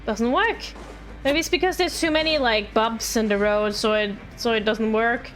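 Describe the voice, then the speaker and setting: A woman livestreams a game with a fairly high voice and faint music behind it. She chats casually, playful and pleading, often apologizing as she goes. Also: moderate pace, fairly bright tone. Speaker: streamer.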